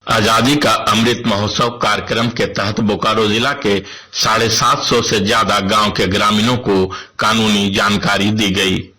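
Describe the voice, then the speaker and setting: A male radio correspondent speaks in a lowish voice with a bright, forceful edge. He reads his district report formally from a script. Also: level tone, unhurried.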